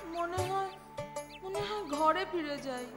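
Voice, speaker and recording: A woman's voice: extremely high pitch, slow pace. A woman voicing a lazy, naive, gluttonous village boy in a children's cartoon, with a whiny, guileless, childlike delivery. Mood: glum, weary. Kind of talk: children's cartoon story dialogue. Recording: music bed under the voice.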